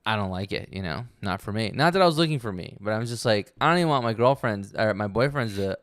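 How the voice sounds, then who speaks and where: A man's voice: fairly low pitch, lively intonation, quick pace, fairly bright tone, clear, resonant tone, dry recording, clean studio sound. A man co-hosting a podcast, chatting casually in a laid-back way and calling his friend dude. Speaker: podcast co-host. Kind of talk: casual chat.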